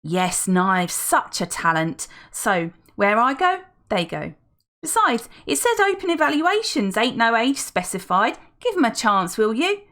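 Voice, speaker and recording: A woman's voice: big pitch swings, unhurried, a bit bright. A woman doing a gushing, nonstop talker lady. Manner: effusive, exclaiming, high-spirited. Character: gushing chatty adult woman, talks nonstop.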